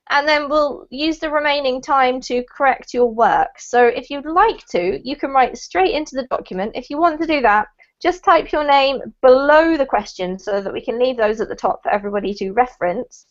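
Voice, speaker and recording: A woman's voice: sits high, animated, normal speed. Upbeat young woman teaching over video call. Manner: upbeat, encouraging, frequent "um"s. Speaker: online English teacher. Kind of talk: friendly online class teaching. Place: indoor room, video call. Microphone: headset headphones on a video call. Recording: dull lo-fi video call audio.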